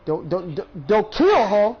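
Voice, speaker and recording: A man reacting out loud to a video game, exclaiming and repeating himself. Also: sits high, sing-song, slow, breathy edge. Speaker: gamer reacting to a playthrough. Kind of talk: spontaneous gameplay reaction. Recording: dull mic over game music.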